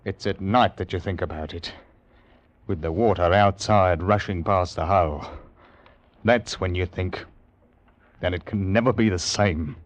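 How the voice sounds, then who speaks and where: An actor playing an embittered, gruff captain of a convict ship in an old-time radio drama. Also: male voice, low-pitched, unhurried, pauses often, no room sound, muffled recording. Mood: haunted, brooding. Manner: gruff, haunted, irascible. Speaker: sea captain and narrator in a radio play. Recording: old radio recording with music behind.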